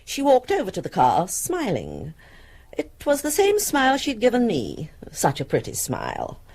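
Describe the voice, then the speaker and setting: A prim middle-aged housewife in a radio drama. Her husky voice swings widely in pitch. She is formal, fastidious and opinionated, placing her words with care. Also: huge pitch swings, darkish tone, heavily acted. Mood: recollecting.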